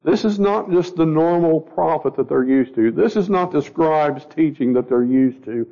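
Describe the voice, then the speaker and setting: Man, Bible study teacher, gravelly and stopped up, conversational, somewhat higher voice, dull lo-fi audio. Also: expressive, moderate pace, warm tone. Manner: conversational, repeats words, asks the group questions. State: stuffy and a little scratchy from a cold. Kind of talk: informal Bible study lesson. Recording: dull lo-fi recording.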